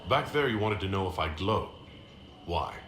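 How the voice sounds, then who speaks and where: A man playing a serious, sceptical hero in a video game, speaking in a formal, measured and dry manner. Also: sits low, sing-song, fairly bright tone. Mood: sceptical, composed. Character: composed, serious male hero leading an order, sceptical. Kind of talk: video game dialogue acting. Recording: roomy echo, faint background noise.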